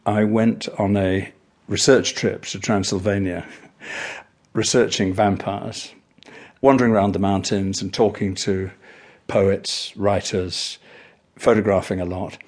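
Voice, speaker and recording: A man's voice: on the low side, level tone, a little slow, darkish tone. A middle-aged or older man, reminiscing in anecdotes as a documentary interviewee.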